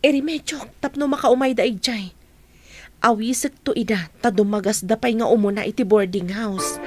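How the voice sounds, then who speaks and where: A woman's voice: a little high, normal speed, close to an everyday voice. A middle-aged woman playing a kindly, helpful auntie in an audio drama, with an Ilocano Filipino accent.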